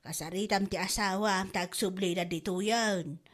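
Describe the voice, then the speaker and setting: A woman's voice: medium pitch, fairly even intonation, normal speed, close to an everyday voice. A woman playing a gossipy mother-in-law who whines and pleads defensively in an amateur comedy audio drama. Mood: apologetic.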